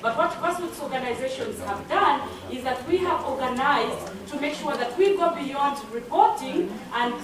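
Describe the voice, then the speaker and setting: A woman from Uganda, speaking as a panelist at a conference, hesitant with many fillers and repeated words. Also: high-pitched. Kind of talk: panel presentation. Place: conference room. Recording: echoey hall, distant mic.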